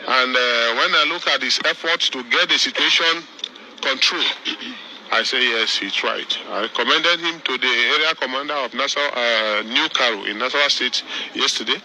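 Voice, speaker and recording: Bright-voiced man with halting speech. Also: mid-range voice, slight background noise, rough recording. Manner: repetitive, halting, with many restarts. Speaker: victim's uncle. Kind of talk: press statement to reporters.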